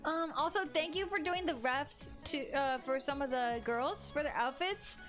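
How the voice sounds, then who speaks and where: A young woman streaming her drawing, chatting bubbly and giggly with viewers, a bit hoarse from losing her voice. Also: sits high, moderate pace, fairly bright tone. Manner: bubbly, giggly, playful sound effects. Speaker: art streamer. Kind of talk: casual chat reading viewer messages. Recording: music playing underneath. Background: background music.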